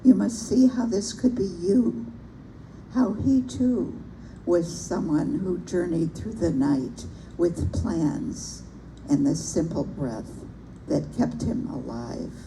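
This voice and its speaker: Soft-spoken older woman, gravelly, slow. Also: lowish voice. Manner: soft-spoken, gentle and reflective. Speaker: poetry reader at a public reading. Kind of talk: reciting a poem with personal remarks. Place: bookstore event room. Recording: noisy live event recording.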